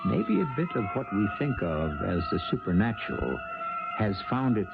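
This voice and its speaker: A man's voice: on the low side, very animated, normal speed, gravelly. A man hosting and narrating a 1970s radio drama in a theatrical, ominous style. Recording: muffled 1970s radio broadcast. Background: prominent music.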